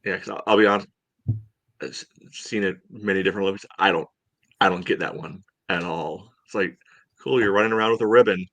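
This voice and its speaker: A man co-hosting a sports podcast, giving his opinions in a casual, halting way. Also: deep voice, fairly even intonation, a bit bright. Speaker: podcast co-host.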